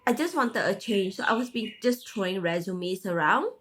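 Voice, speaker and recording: A woman's voice: mid-range voice, animated, normal speed, fairly bright tone, a little hiss or hum. A woman in her thirties from Malaysia with a Malaysian English accent, a podcast guest chatting casually and laughing often between phrases. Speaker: guest, tech manager. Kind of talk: casual interview chat.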